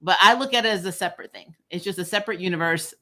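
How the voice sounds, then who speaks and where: A woman's voice: fairly low pitch, very fast, bright, forceful tone. A woman hosting a casual film chat, talking in a blunt, sarcastic, slangy way. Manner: blunt, sarcastic, slangy, laughs through stories. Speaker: channel host reviewing films. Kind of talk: casual opinionated film discussion.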